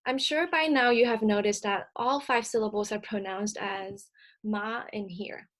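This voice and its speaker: A woman whose first language is Cantonese, presenting a recorded slide lecture in a measured, explanatory way with frequent filler sounds. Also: mid-range voice, steady tone, a bit bright.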